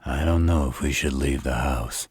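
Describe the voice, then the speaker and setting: Man performing a gruff magical enforcer, rock-bottom pitch, very breathy rasp, dry close sound, world-weary, protective, roleplay audio. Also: no room sound, exaggerated voice. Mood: worried. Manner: gruff, deliberate, slangy. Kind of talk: roleplay audio drama addressed to the listener.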